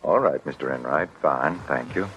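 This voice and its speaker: A methodical doctor from an old radio play, his voice very deep. He is polite and measured, with expressive rises and falls, in dull 1940s broadcast sound. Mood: calm, clinical. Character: calm, methodical male doctor, clinical expert. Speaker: lie detector specialist.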